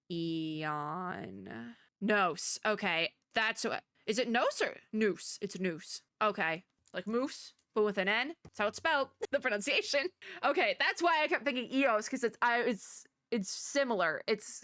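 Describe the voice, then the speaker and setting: A woman giving casual, excited reaction commentary in a chatty, rambling and expressive way. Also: medium pitch, no echo, clean studio sound. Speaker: reaction video host.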